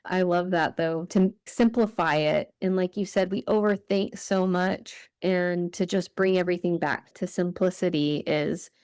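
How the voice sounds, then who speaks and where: A woman's voice: on the low side, fairly even intonation, normal speed, hardly any pauses, a little mellow, clean tone, dry recording, clean studio sound. A woman podcast host chatting with enthusiasm and laughing easily as she interviews her guest. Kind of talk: casual interview chat.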